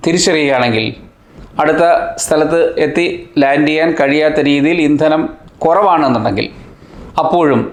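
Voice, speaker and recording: A man narrates a scripted explainer in a fairly high voice. He delivers it in deliberate, short phrases and poses rhetorical questions as a teacher would. The sound is noticeably roomy, with a clear echo. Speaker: host of a science explainer channel.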